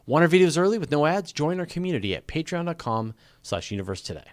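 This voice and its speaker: A man's voice: on the high side, big pitch swings, fast talker, no room sound. A man hosting a casual live question-and-answer show, talking in an informal, joking, conversational way. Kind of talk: casual live Q&A chat with viewers.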